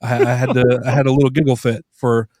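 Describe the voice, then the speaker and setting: A man hosting a casual podcast, hoarse and stuffy from laughing, rambling with many restarts and giggles. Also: mid-range voice, flat delivery, fast, warm tone, no echo. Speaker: podcast host.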